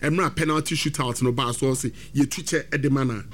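A man with a Ghanaian accent hosting a football talk segment, animated and switching between English and Twi. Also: mid-range voice, quick pace. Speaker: sports radio host.

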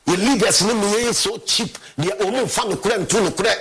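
A Ghanaian man rants with a heated, scornful edge, circling back to the same words again and again. His voice sits high and sounds bright and sharp. Underneath it is very husky and raspy. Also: brisk. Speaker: football fan commentator. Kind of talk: angry rant.

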